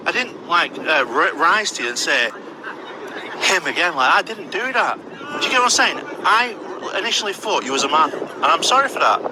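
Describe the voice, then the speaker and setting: A man's voice: on the high side, sing-song, normal speed. A man with a Lancashire accent filming the police, asking provocative, mocking questions. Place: city street outdoors. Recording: noisy street with traffic.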